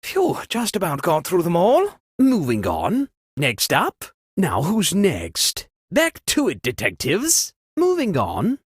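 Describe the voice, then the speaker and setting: Fretful posh party host, hugely animated pitch, husky, dry close sound, theatrical, exasperated, comedic video game character. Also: takes it slowly, no echo, heavily acted. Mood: relieved, brisk.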